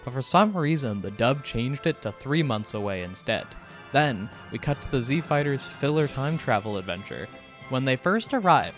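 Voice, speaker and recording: A man delivering scripted video essay narration in a critical, wry manner. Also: mid-range voice. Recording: music behind the voice. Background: background music.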